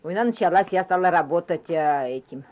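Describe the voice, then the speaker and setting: A woman's voice: fairly low pitch, lively intonation, darkish tone. Hesitant old village woman, lo-fi. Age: older. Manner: shy and hesitant, full of sighs and short halting answers. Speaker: interviewee, former stable hand. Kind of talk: hesitant spoken memories. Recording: lo-fi field recording.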